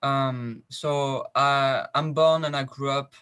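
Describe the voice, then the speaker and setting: A man's voice: medium pitch, fairly even intonation, slow pace, a bit bright, smooth. A young man from France with a French accent, introducing himself hesitantly with many fillers, speaking on a video call. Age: young adult. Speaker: scholarship recipient.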